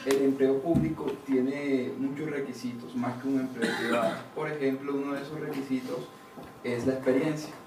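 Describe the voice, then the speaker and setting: Colombian male audience member, polite careful questioning, mid pitch, noisy room. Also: fairly even intonation, normal speed. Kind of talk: polite question at a public forum. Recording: echoey room, distant, noisy.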